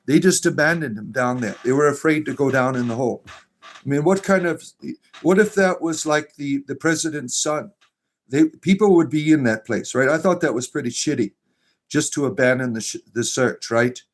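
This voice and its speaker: A middle-aged man from Florida, hosting a casual livestream and answering viewer comments in a rambling, drawn-out way with many fillers. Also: mid-range voice. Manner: rambling, full of fillers and restarts, drawn-out narrator delivery. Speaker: livestream host.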